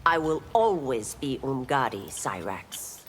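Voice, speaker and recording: A distrustful warrior constable in a fighting game. Her voice is low and slow with wide swings, formal and curt, over clearly audible noise. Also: sing-song, full character voice. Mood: stern. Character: stern, distrustful female law enforcer and warrior. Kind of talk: video game character dialogue. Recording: clear background noise and clicks.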